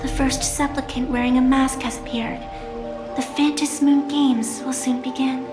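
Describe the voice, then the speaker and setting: A woman's voice: high-pitched, flat delivery, moderate pace, natural voice. A woman playing a poised, playful and mysterious young female guide in a video game, speaking formally with teasing, faintly haughty theatricality. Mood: theatrical, welcoming. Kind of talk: scripted video game character acting. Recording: echoey, long reverb, music behind.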